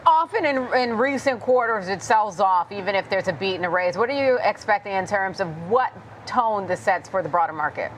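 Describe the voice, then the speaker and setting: Woman anchor running a market interview, quick and businesslike, bit of noise behind. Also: medium pitch, animated. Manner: brisk, guiding questions. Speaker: news anchor. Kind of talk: financial news interview.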